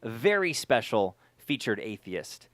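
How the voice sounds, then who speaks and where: A man's voice: medium pitch, sing-song, takes it slowly, a bit bright, no echo. A man hosting a podcast in casual chat, speaking emphatically and often repeating words for emphasis. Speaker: podcast host.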